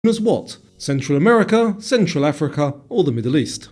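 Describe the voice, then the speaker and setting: A man's voice: fairly high pitch, very animated, brisk, a little mellow. A man presenting a quiz in scripted narration, reading out questions and answer choices.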